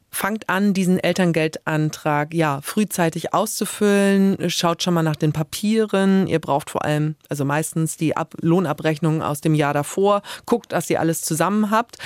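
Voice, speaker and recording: A woman's voice: on the low side, darkish tone, dry recording, studio quality. Friendly woman podcaster giving practical tips. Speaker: podcast co-host. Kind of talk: casual podcast chat with advice.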